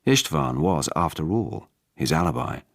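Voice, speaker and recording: A man reading a novel as a scripted radio narration, in a measured, restrained dramatic way. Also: a bass voice, sing-song, creaky, no room sound, clean studio sound.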